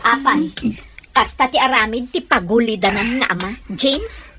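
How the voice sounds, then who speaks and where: A woman's voice: fairly high pitch, lively intonation, moderate pace, background noise, lightly acted. A woman playing a fed-up, complaining wife in an Ilocano radio drama, speaking with an Ilocano accent.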